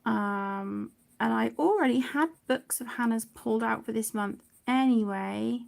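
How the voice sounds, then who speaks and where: Middle aged woman chatting away on a craft livestream, bit of vocal fry, soft and apologetic. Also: fairly high pitch, unhurried. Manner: apologetic, chatty, affectionate, with soft trailing phrases. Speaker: livestream host of an adult colouring channel. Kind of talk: casual livestream chat while colouring.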